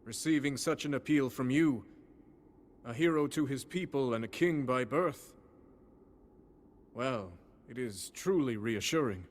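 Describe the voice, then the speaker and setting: An actor voicing a calm, wise king in a game cutscene, speaking in a measured, regal way. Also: medium pitch, a little hiss or hum, close to an everyday voice. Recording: game cutscene over music.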